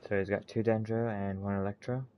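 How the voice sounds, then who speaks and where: A man's voice: fairly low pitch, fairly even intonation, fairly quick, lo-fi sound. A man giving casual, offhand commentary as he plays a video game, muttering to himself. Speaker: gaming streamer.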